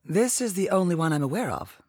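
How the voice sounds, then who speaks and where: Lady doing an embassy office woman in an audiobook, brisk, higher voice, bit husky. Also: very animated, mellow, no room sound, clean studio sound. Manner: businesslike.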